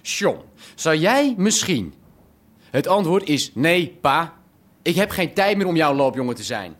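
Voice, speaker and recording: A man's voice: fairly high pitch, big pitch swings, unhurried. An actor playing a frustrated young man who resents taking orders from his father. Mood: resentful. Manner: resentful, rebellious. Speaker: son of the boss. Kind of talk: radio drama acting.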